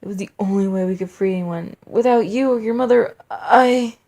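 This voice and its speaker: A woman's voice: mellow, lightly acted. A woman voicing a huge old monster king, a sorrowful father, in a storybook reading. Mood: pleading. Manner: booming commands, halting pleading.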